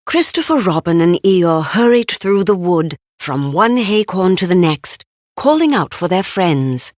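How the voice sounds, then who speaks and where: A woman reading a children's storybook aloud in an expressive, deliberate way. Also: fairly low pitch, dry recording, studio quality. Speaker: storybook narrator. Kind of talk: scripted read-aloud children's story narration.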